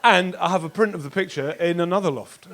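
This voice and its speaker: A man doing a stand-up set in a comedy club, sardonic and sweary, shouting punchlines at the crowd on a handheld stage mic. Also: high voice, quick pace, noisy background. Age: 30s. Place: dark comedy club stage with live audience.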